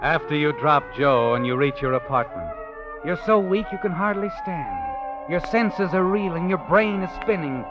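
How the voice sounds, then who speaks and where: Over clear dramatic music, a man plays an American narrator of forties suspense radio. His voice is fairly high, ominous and needling, as if he knows every secret. The sound is dull and lo-fi, like an old broadcast. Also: expressive, moderate pace, close to an everyday voice. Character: knowing, taunting male narrator of crime tales. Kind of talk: 1940s radio suspense narration, second person. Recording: dull lo-fi 1940s radio recording.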